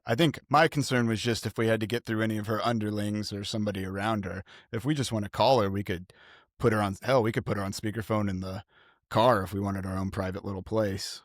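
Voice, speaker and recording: A man's voice: on the low side, no room sound, studio quality. A man playing a tabletop roleplaying game, talking through plans in casual improvised chat.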